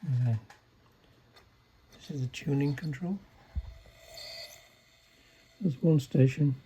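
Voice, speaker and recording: A man giving a casual, unscripted technical demonstration in a halting, low-key way, like a restorer explaining equipment. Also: mellow, slight background noise. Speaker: vintage radio restorer demonstrating a set.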